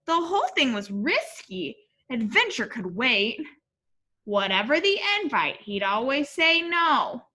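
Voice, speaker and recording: A woman reading a picture book aloud in an animated, expressive way, as if to young children. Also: a little high, unhurried. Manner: expressive, addressing young listeners.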